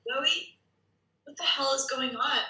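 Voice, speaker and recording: A woman's voice: sits high, slow, sharp tone, heavily acted. A woman acting a frightened, bewildered civilian dragged into spy trouble at a screenplay table read. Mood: terrified. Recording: echoey room, distant microphone.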